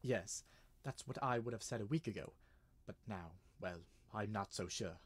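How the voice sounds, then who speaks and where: A man playing a grave gentleman in a radio drama, measured and solemn. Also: lowish voice, husky. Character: grave gentleman recounting a family legend to a detective.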